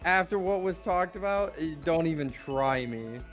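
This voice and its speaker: A woman with a very deep voice, bluntly teasing her friends during a game session. It is casual chat, with faint music underneath. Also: lively intonation, normal speed.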